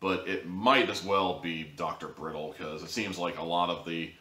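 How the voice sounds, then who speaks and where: Deep-voiced guy ranting sarcastically about a show, kinda roomy echo. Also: steady tone, moderate pace, pushed and bright. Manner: sarcastic, rambling, with sudden jumps in pitch. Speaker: audio drama reviewer. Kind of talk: casual monologue review.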